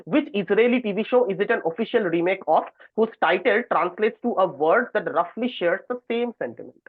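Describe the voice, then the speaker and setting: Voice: mid-range voice, lively intonation, fairly quick, no echo. A quizmaster reading trivia questions aloud on an online call and ruling on the answers. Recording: thin phone-like online call audio.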